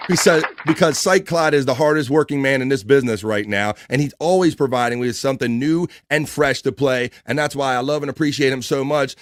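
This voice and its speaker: A man's voice: a little high, a bit bright, no room sound. A man hosting a live-stream talk show, talking casually and loosely, joking, laughing often and swearing now and then.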